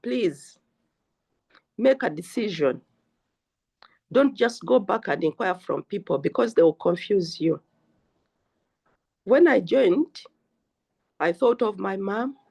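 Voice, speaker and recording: An older woman with a Ugandan English accent shares her story on a group call. She talks slowly and earnestly, pausing between phrases, at a medium pitch. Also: creaky, darkish tone, breathy edge. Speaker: guest speaker. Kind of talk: personal testimony on a group call.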